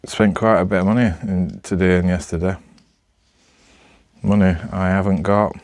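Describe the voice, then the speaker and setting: A man's voice: low-pitched, level tone, moderate pace, darkish tone, dry recording, clean studio sound. A man with a Northern English accent chatting casually to camera, rambling in a hesitant, self-deprecating way. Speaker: amateur vlogger.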